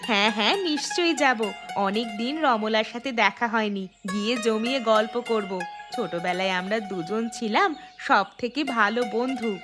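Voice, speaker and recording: A woman's voice: fairly high pitch, normal speed, close to an everyday voice. A woman playing a friendly, chatty village wife in a cartoon. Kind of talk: Bengali cartoon dialogue. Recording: music behind the voice.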